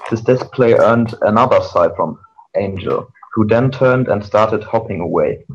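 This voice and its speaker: Casting: male amateur story narrator, lowish voice, dull slightly hissy recording. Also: unhurried. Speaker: fan fiction reader. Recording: muffled amateur recording with hiss.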